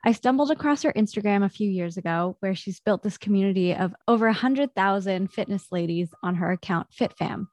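A woman's voice: medium pitch, brisk, hardly any pauses, a little mellow, no room sound. An upbeat young woman hosts a podcast interview and chats easily with her guest. Her voice sits in the middle of the usual range for a woman. She is recorded close and dry, with no room sound around her. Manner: upbeat, chatty. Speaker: podcast host.

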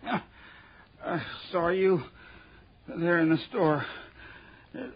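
A dying young American man, gasps out a confession in slow, broken phrases. His voice is high and weak. The 1960s radio recording is dull. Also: breathy edge, full character voice. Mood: pained, fading. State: gasping, badly hurt, weak. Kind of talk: 1960s radio drama acting. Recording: dull, faintly noisy old radio recording.

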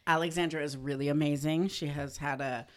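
A woman co-hosting a podcast, introducing the guest in an upbeat, quick way. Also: sits low, fairly even intonation. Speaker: podcast co-host. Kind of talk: casual interview hosting.

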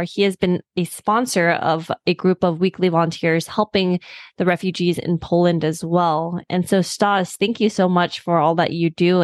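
A woman's voice: on the low side, dry recording, studio quality. A woman hosting an interview podcast, speaking in a prepared, presenter style. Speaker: podcast host. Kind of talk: scripted podcast introduction and interview.

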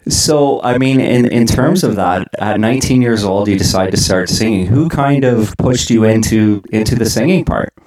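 A man hosts a laid-back interview, chatting easily and restarting his sentences as he goes. His voice sits a little low and rings in an echoey room. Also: moderate pace, few pauses, a little mellow. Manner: easygoing, chatty, with many ums and restarts. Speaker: host. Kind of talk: casual interview chat.

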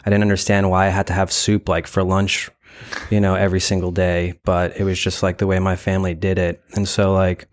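A man speaking casually as an interview guest, reflective and halting, often padding his sentences with "like" and "you know.". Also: low voice, monotone, a little hiss or hum. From Brooklyn, New York; born in Ukraine. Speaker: interview guest, gallery founder.

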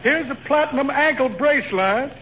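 An actor playing a shady, fast-talking con man with florid, muttering, chuckling patter in a 1940s radio comedy. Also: extremely high pitch. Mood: slyly amused. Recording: muffled, very noisy 1940 radio broadcast.

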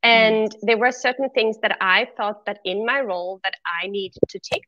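Bright-voiced middle-aged Swedish woman. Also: fairly high pitch, normal speed, no room sound, highs cut off. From Sweden. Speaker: interview guest, former corporate executive. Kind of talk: conversational interview answers.

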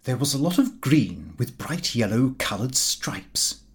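A man's voice: mid-range voice, sing-song, measured pace. A man reading a comic diary novel as an audiobook, with fussy, indignant storytelling. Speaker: audiobook reader.

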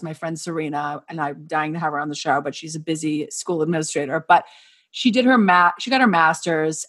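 Woman podcast host, low-pitched, enthusiastic and teasing in casual chat. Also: fairly bright tone.

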